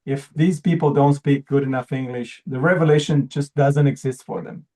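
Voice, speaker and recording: A man whose first language is Hebrew pitches a project to an audience in an earnest way. His voice sits in a middle pitch with a mellow, darker timbre. He often repeats a word or restarts a phrase mid-sentence. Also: steady tone, normal speed. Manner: earnest, repeats words while searching for phrasing. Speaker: presenter.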